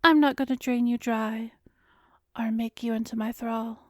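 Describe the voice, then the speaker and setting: A woman playing an arrogant, cruel, seductive vampiress hypnotist in an ASMR roleplay, savoring and commanding, with breathy speech that often drops to a whisper. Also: on the high side, fairly even intonation, a little mellow, no room sound, studio quality, lightly acted. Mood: gloating, savoring. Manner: slow, savoring, commanding, teasing. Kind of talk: ASMR roleplay audio drama.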